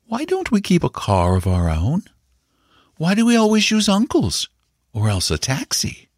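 An earnest young boy played by a male narrator speaks in a raised, high voice. He is timid and questioning, like a child in a literary storybook reading. The sound is dry and close. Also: huge pitch swings, unhurried, no echo, heavily acted. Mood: puzzled, pleading.

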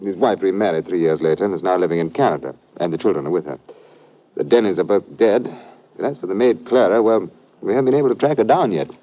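An actor playing a methodical, courteous British police inspector with a thoughtful, measured manner in an old radio drama. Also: male voice, sits low. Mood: recalling details. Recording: dull vintage radio recording.

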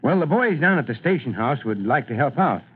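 A man's voice: medium pitch, very animated, a little fast, breathy edge. A man playing a kindly, folksy fire chief in an old-time radio drama, avuncular in manner. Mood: genial, encouraging. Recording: muffled narrow-band old radio recording.

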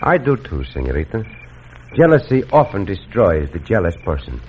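An actor playing a gallant, confident Mexican cowboy hero with a courtly, theatrical manner and a Mexican accent. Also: male voice, low-pitched, big pitch swings, moderate pace. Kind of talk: 1950s Western radio acting. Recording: muffled, very noisy old radio recording.

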